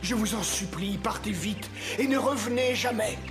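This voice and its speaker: A woman's voice: mid-range voice, normal speed, loud music behind the voice, lightly acted. A woman voicing a loyal, anguished royal huntsman in a French-dubbed fairy-tale cartoon, speaking solemnly and urgently. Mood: urgent, pleading.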